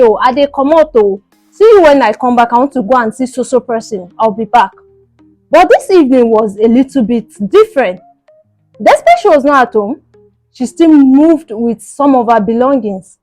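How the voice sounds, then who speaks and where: A woman's voice: on the high side, darkish tone, dry recording. Nigerian woman storyteller over background music. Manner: conversational storytelling with rhetorical questions and reported speech. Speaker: storytelling show host. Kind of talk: narrated personal story.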